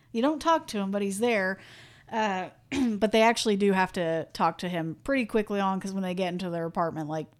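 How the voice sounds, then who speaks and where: A woman from the American Midwest co-hosting a casual movie podcast, chatty and quick to laugh. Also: medium pitch. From Midwestern United States. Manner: chatty, laughs often, self-deprecating. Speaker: podcast co-host. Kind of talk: casual chat.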